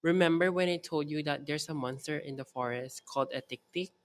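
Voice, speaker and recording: A woman puts on an elderly Filipino grandmother from a storybook. Her voice is low, and she teases and soothes as she spins her tales. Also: a little fast, close to an everyday voice. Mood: spooky storytelling. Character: teasing, chuckling old Filipino grandmother storyteller.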